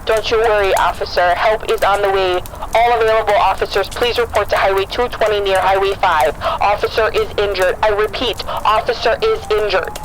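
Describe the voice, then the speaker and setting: A woman's voice: mid-range voice, steady tone, normal speed, natural voice. Woman playing police dispatcher, professional, clipped procedural phrasing, radio drama, noticeable background noise. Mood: urgent, reassuring. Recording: noisy radio and vehicle ambience.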